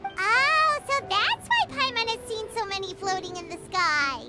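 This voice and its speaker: A woman voicing a small, cheerful, childlike companion creature in an anime-style game, with a squeaky, perky delivery. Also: sing-song, unhurried, over loud music, exaggerated voice. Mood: curious. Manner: speaks of herself in third person, perky.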